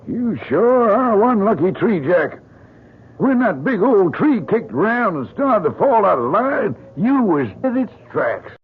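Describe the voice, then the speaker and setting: Nervous country logger, rural american accent, high swoopy voice, tinny old radio sound. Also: male voice, big pitch swings, a little slow, husky, full character voice. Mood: friendly, folksy. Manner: hesitant, stammering, folksy. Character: nervous folksy backwoods lumberjack, easily cowed. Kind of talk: old-time radio drama acting.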